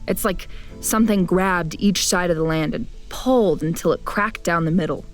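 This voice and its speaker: A woman's voice: lowish voice, normal speed, lightly acted. A woman playing a wary, grumpy young traveler who narrates an audio drama in a blunt, wry way. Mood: wary, descriptive. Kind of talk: first-person audio drama narration and dialogue. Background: music.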